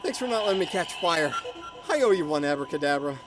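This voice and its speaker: A man's voice: on the high side, animated, quick pace, over loud music, natural voice. A man playing a cocky, wisecracking monster hunter in a radio drama, wry and quippy. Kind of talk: fan radio drama acting.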